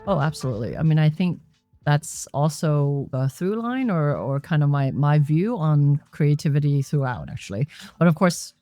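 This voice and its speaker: A woman from Hong Kong answers interview questions in a reflective, explanatory manner. Her voice is mellow and close, with a dry sound, and she speaks at a middle pitch. She is recorded in a members' club lounge. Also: lively intonation, moderate pace, no echo, soft background music. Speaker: guest.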